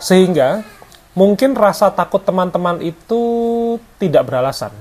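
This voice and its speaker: Man in his thirties, slightly high voice, chatty vlogger, hesitant with fillers, close lapel mic. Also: animated, moderate pace, a little mellow, a little hiss or hum. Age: 30s. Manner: conversational, hesitant with fillers, mixes in English words. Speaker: vlogger and photographer. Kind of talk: casual talk to camera. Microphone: clip-on lavalier.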